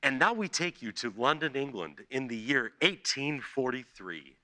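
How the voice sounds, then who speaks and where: A man narrates a scripted radio play in a theatrical storytelling style. His middle-pitched voice swoops expressively, landing with deliberate dramatic emphasis. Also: very animated, pushed and bright.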